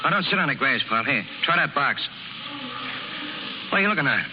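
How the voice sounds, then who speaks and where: Fast-talking, blunt circus workhand. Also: male voice, on the high side, big pitch swings. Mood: concerned, coaxing. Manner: blunt, slangy, ungrammatical. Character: tough, protective, plain-spoken male circus canvas man. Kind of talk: 1930s radio acting. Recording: muffled, noisy 1930s radio recording.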